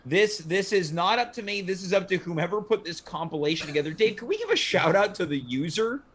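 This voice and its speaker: A man's voice: sits high, lively intonation, a little fast, fairly bright tone, slightly rough. A man hosting a talk show in a casual, chatty style. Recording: noticeable background noise.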